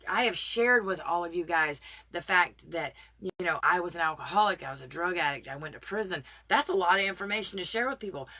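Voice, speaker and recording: A woman talking earnestly and encouragingly to viewers in a casual live video, greeting them by name, her voice a little raspy today. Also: fairly low pitch, pushed and bright. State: slightly raspy voice. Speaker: motivational live streamer. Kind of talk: casual motivational talk to viewers.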